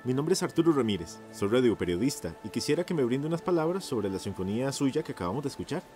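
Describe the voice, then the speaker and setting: A man's voice: medium pitch, sing-song, fast talker, warm tone, faint music behind, lightly acted. A man acting in radio theatre as a courteous, enthusiastic 1950s radio journalist, with a Costa Rican accent and a formal, announcer-like manner. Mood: eager, hailing. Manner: formal, effusively polite, announcer-like.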